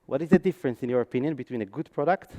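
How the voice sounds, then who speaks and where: A man's voice: medium pitch, rapid-fire, dark tone. A man from Israel with an Israeli accent, speaking on stage at a conference with frequent ums and restarts. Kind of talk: conference talk.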